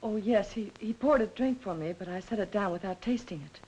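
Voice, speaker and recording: A woman's voice: medium pitch, a little mellow, a little hiss or hum, close to an everyday voice, poor audio. An actress playing a poised, earnest young woman under suspicion in a 1940s film noir, speaking politely and carefully. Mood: composed, confessing. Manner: measured, polite, hesitant. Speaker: film actor. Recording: 1940s film soundtrack with score behind.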